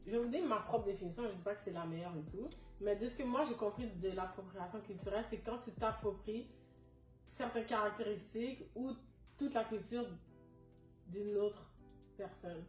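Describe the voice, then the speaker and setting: A woman's voice: mid-range voice, normal speed. A young woman, speaking frankly and emphatically as a guest in a casual panel discussion. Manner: frank, emphatic, mixes English words. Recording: echoey room, distant mic, soft music.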